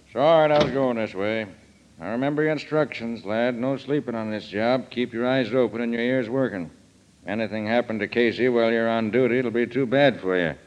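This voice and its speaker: A man's voice: mid-range voice, a little fast, a little hiss or hum, lightly acted. An actor playing a stern, fatherly police lieutenant, commanding and clipped, in 1940s radio drama style. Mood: brisk instruction. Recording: dull vintage radio recording.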